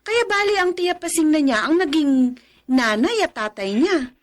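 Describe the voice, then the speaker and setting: Chatty, high-pitched friend. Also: female voice, animated, moderate pace. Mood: advising. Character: chatty, practical female friend giving advice. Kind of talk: Filipino radio drama acting.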